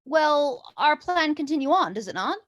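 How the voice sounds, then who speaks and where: A woman's voice: high-pitched, sing-song, normal speed, a bit bright. A woman playing a bossy, confident teenage girl in a mock-Shakespearean staged reading, with haughty, theatrical archaic speech. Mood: breezy.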